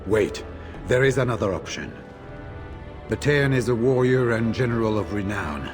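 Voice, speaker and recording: A man plays an earnest warrior of a sworn order in a fantasy game drama. His voice is fairly low and his delivery measured and persuasive. It carries long hall reverb, with faint music underneath. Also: expressive, natural voice. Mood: firm, persuasive. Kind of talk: dramatic game cutscene acting.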